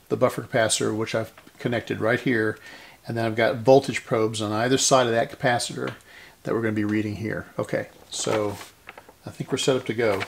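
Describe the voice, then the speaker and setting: A man with a medium-pitched voice full of vocal fry talks through a hands-on repair. He explains each step methodically in plain, informal language. A light noise sits behind him on the recording. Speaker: host of a radio restoration series.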